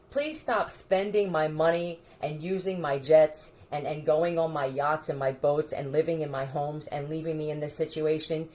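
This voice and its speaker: A woman's voice: on the low side, a little mellow. A woman talking in a scattered, profane monologue, addressing unseen listeners directly. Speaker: video creator. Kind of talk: rambling monologue to camera.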